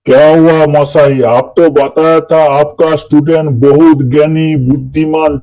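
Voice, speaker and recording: A man's voice: a little high, mellow, poor audio. A man voicing an exasperated, sarcastic schoolteacher in a comedy cartoon, quizzing pupils with a mocking drawl.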